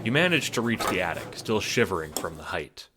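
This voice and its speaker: A man's voice: deep voice, big pitch swings, brisk, very noisy. A man narrating an audio drama in the second person with a wry, theatrical, sardonic manner.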